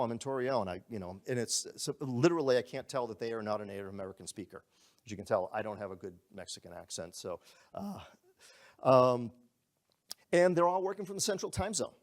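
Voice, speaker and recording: A man presenting to a small room audience in a conversational, upbeat sales-pitch manner with frequent restarts. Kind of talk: business presentation to a live audience.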